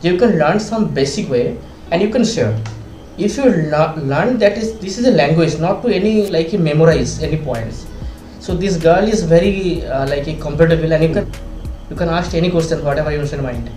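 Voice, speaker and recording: A man with an Indian English accent, a teacher hosting the talk, speaking in halting phrases that often restart. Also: on the high side, lively intonation, dark tone, echoey room, soft background music, rough recording. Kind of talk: casual teaching chat.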